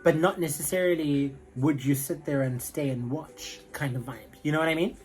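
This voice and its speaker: A man's voice: on the high side, steady tone, moderate pace, faint music behind. A young man with a South African accent, chatting casually to camera in a rambling way full of fillers. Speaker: vlogger.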